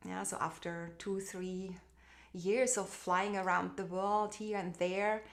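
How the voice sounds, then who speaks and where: A woman's voice: medium pitch, fairly even intonation, slow pace. Austrian woman telling her story. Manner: warm, reflective, frequent fillers and restarts. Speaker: guest, branding expert. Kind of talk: casual conversational storytelling over video call.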